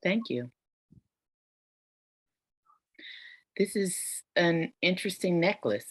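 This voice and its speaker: A woman playing an elderly, courteous but bossy and sinister grandmother in a table read, with a slow, commanding manner. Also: on the low side, lively intonation. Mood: gracious. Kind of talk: virtual table read acting.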